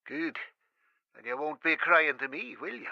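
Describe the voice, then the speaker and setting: Sharp older father on phone. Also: a little high, animated, normal speed, vocal fry, no room sound, full character voice. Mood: scornful. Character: curt, skeptical older father on the telephone. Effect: telephone filter. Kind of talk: radio drama acting. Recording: muffled telephone line effect.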